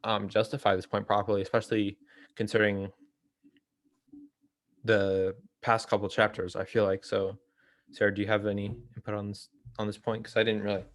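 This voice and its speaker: A man with a fairly low voice leads an informal theory read-along. He explains things hesitantly and corrects himself as he goes. The sound is dry, with very little room in it. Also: little pitch movement, a little fast, pauses often, no echo. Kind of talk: informal book discussion.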